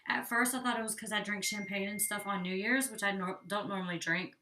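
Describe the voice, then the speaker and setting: A woman's voice: medium pitch, steady tone, brisk, bright, forceful tone, a little hiss or hum. Young woman doing a hair tutorial at home, kinda stuffy nose, chatty, lots of likes, sounds a bit far from the mic. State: congested, slightly out of breath. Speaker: beauty vlogger. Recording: distant from the mic.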